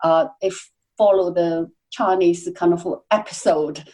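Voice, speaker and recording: A woman speaking as a podcast guest, answering at length in a halting way with many fillers and restarts. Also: on the low side, mellow. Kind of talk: conversational interview answers.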